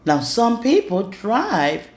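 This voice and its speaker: A woman reading a rhyming children's storybook aloud in an expressive way. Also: medium pitch, slow pace. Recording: roomy echo with music underneath. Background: music.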